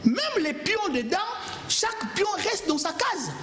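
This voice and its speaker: A man argues in a heated studio debate, emphatic and pleading by turns. His voice sits high and leaps all over its range. A roomy, echoing studio sound surrounds him. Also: sing-song, measured pace, a bit bright, slight background noise. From Kongo Central, DR Congo. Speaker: TV debate panelist.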